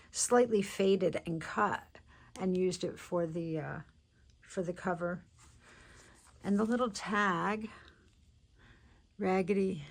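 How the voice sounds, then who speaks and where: A woman's voice: mid-range voice, creaky. A woman showing off a handmade journal in casual show-and-tell narration, chatty and congested from allergies. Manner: chatty and unhurried, with many fillers and restarts.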